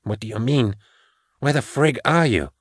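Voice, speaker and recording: A man's voice: medium pitch, expressive, moderate pace, husky, dry recording, studio quality, pitched above the performer's own voice. A man voicing a frightened, defiant young woman who is being hunted, speaking in panicky, lashing-out bursts. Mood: suspicious.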